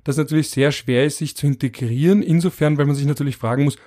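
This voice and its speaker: Musing podcast host reading statistics. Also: male voice, on the high side, quick pace, warm tone. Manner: reads out statistics, musing and wry. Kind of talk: conversational podcast discussion.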